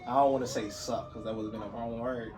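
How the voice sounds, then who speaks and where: A man's voice: medium pitch, fairly even intonation, quick pace, recorded from a distance, noisy background. A man in his thirties co-hosting a podcast, teasing and blunt in a casual chat. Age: 30s. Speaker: podcast co-host.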